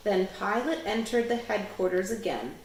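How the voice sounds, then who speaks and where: A woman's voice: medium pitch, expressive, normal speed, a little hiss or hum. Woman reading scripture, very echoey. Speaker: lay scripture reader. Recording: very echoey room.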